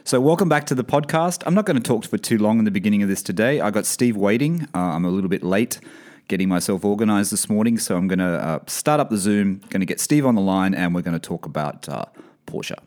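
A man hosting a casual podcast, talking in a relaxed, rambling way with many fillers, his voice a bit croaky from a cold. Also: fairly low pitch, quick pace. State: croaky, coming down with something. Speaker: podcast host. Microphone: podcast mic over video call.

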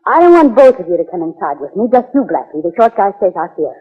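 A woman's voice: medium pitch, sing-song, fast, husky. An actress playing a determined, secretive woman in 1940s radio drama, terse and insistent. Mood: curt, insistent. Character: determined, secretive, hard-edged woman seeking protection. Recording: tinny, band-limited 1940s radio recording.

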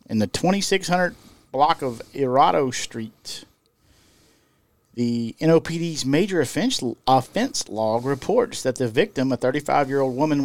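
A man co-hosting a podcast, reading out crime reports and adding dry, deadpan quips. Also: on the high side, dry recording. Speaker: podcast co-host reading news stories. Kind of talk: casual news-reading banter.

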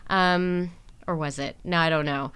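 A woman's voice: deep voice, steady tone, measured pace, a bit bright, clean tone. A woman hosting a podcast in casual conversation, chipping in with playful, teasing remarks. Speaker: podcast host, interviewer. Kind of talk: casual chat.

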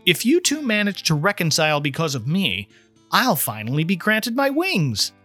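A man's voice: fairly high pitch, huge pitch swings, normal speed, sharp tone, breathy edge, soft background music, pitched above the performer's own voice, heavily acted. A man voicing an earnest, impatient trainee guardian angel who explains things with mild condescension in a storybook reading. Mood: eager, explaining. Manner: explanatory, mildly condescending toward humans.

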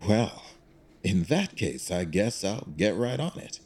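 An actor playing a shrewd, fatherly older executive and loyal advisor in an audio drama, wry and deliberate. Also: on the low side, sing-song, darkish tone, breathy edge, heavily acted. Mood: uneasy.

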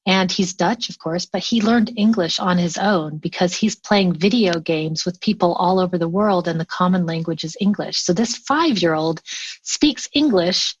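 A woman's voice: lowish voice, moderate pace, slightly rough, dry recording. Chatty woman, slightly dull close recording. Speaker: interview guest; remote collaboration workshop teacher. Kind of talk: casual conversational interview.

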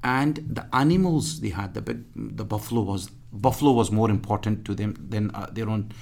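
Man, low voice, gravelly, halting, full of restarts, English third language. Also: a little mellow. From Pakistan. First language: Punjabi or other regional language; Urdu second, English third. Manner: halting, many restarts and fillers. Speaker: guest. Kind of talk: casual interview.

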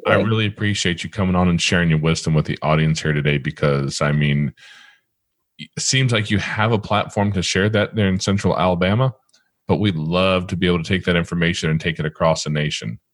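A man with a Midwestern accent hosting an interview in a relaxed, rambling way, often restarting his sentences. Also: sits low, fairly quick, no room sound, clean studio sound. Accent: Midwestern American. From American Midwest. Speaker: podcast host. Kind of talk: casual interview chat.

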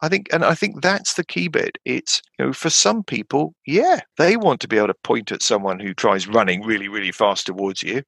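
A man in his fifties chatting casually about tabletop games, rambling with lots of fillers and restarts and sudden animated jumps in pitch. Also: gravelly, no echo. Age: 50s. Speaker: podcast guest.

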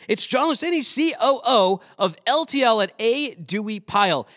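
A man from Massachusetts, hosting a podcast in an animated, jokey, casual way. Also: extremely high pitch, no echo, clean studio sound. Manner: animated and jokey, fast filler-laden talk. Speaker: podcast host. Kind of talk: casual chat.

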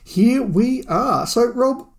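A man's voice: very high voice, expressive, takes it slowly. An Australian man in his forties, a podcast co-host giving his opinions in a casual, conversational way. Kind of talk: casual chat, discussion.

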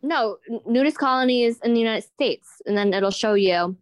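A woman's voice: on the high side, normal speed. A woman co-hosting a podcast in casual chat, blunt and sweary as she banters. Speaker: podcast co-host.

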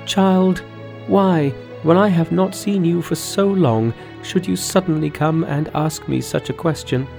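A man's voice: on the high side, unhurried, gravelly, darkish tone, faint music behind, voice pushed up. A man voicing a sorrowful, anxious queen mother who speaks tenderly and formally in a storybook tale. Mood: startled, pleading. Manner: formal, plaintive, pleading. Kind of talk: storybook dialogue in a narrated folk tale.

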